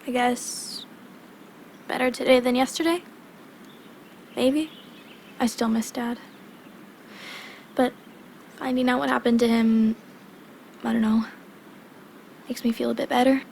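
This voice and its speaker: A woman's voice: a little high, fairly even intonation, a little slow, vocal fry, pauses often, darkish tone, a little hiss or hum. A woman playing a shy, earnest young girl in grief, in a video game. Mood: subdued, hesitant.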